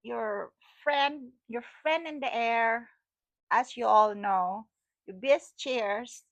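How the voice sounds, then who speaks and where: A woman's voice: fairly high pitch, takes it slowly, no room sound, low-quality recording. A middle-aged Filipina woman with a Cebuano accent, a wellness vlogger rambling casually to camera, repeating her phrases and laughing often. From Philippines.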